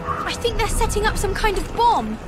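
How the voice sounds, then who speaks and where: Very high-voiced, scolding young girl. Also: very animated, normal speed, music in the background. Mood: alert, worried. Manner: cautioning, matter-of-fact, scolding. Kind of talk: video game voice acting.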